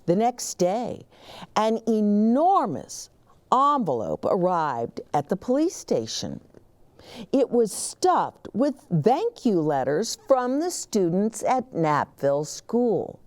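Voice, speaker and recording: Animated, unhurried woman reading a storybook. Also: medium pitch. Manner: animated, dramatic pauses and emphasis. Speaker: children's storytime reader and host. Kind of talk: expressive picture book read-aloud narration.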